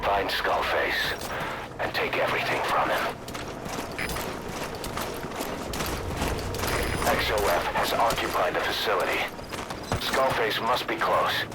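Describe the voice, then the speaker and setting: An actor playing a grim, embittered military commander, giving a terse, grave mission briefing in a video game. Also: male voice, lowish voice, normal speed, very noisy, natural voice. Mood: grim resolve. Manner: terse, grave, emphatic delivery. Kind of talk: dramatic scripted mission briefing.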